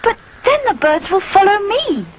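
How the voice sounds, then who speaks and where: Woman voicing an excitable, high-pitched little boy bear. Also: moderate pace, muffled recording, a little hiss or hum. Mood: worried. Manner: excitable, earnest. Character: eager, helpful young boy bear. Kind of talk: children's storybook character voice.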